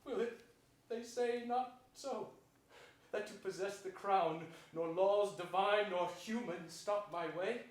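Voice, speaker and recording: A man's voice: high voice, slow pace. A man playing a scheming, gloating hunchbacked royal usurper in theatrical Shakespearean stage declamation. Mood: defiant. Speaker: stage actor. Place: theater stage before an audience. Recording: distant mic in echoey theater, hiss.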